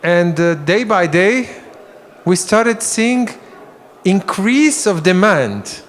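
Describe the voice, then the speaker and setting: A man's voice: high voice, very animated. A man moderating a conference panel, talking with frequent restarts and fillers. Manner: halting, many restarts. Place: conference hall.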